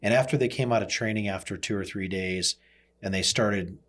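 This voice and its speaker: A man's voice: lowish voice, normal speed, fairly bright tone. A man hosting a podcast, talking in a conversational, explanatory way about business. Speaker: podcast host.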